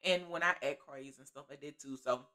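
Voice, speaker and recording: A middle-aged woman telling a story in her living room in a sassy, animated, preachy way, laughing often, into a webcam. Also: low-pitched, brisk. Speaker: storytelling vlogger. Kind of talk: casual storytime vlog. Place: living room at home, seated on a couch.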